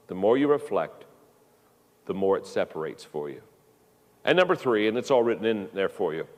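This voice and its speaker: A man in his fifties delivers a leadership lesson in a folksy, personal way. He often restarts his phrases, repeating a word before he gets going. His voice sits at an ordinary pitch and rings with a long hall reverb. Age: 50s. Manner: folksy, repeats words when starting a thought. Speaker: leadership speaker.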